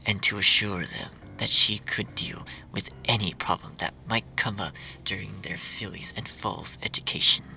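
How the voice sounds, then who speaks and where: A man narrating a scripted dark fan fiction story with dramatic, deliberate phrasing. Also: lowish voice, vocal fry, a little hiss or hum, low-quality recording. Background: music.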